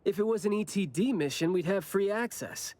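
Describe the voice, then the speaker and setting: A glib anime game captain who shirks work. His delivery is breezy and teasing, with a lively, swinging pitch, as if every excuse were perfectly reasonable. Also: a little fast, dry recording. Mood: playfully smug. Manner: breezy, teasing, self-justifying.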